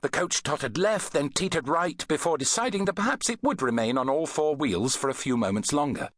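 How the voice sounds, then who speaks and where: Animated male audiobook narrator, dry studio sound. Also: on the high side, fairly quick, dry recording. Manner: expressive, dramatic storytelling. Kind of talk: dramatic scripted narration of an adventure novel.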